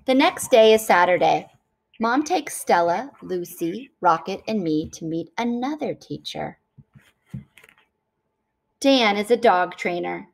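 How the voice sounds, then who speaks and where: A woman reading a picture book aloud to children in an expressive, deliberate storytelling style. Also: medium pitch, vocal fry.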